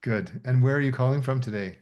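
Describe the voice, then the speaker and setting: A man's voice: mid-range voice, brisk, muffled recording. A man hosting a video call Q&A, welcoming participants with short friendly affirmations. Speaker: host of the Q&A call.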